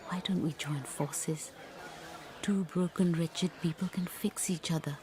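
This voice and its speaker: A woman's voice: fairly low pitch, steady tone, a little slow, close to an everyday voice. A woman playing an earnest, lonely, world-weary and self-sacrificing woman in a radio drama, with formal, pleading phrasing. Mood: tender, pleading.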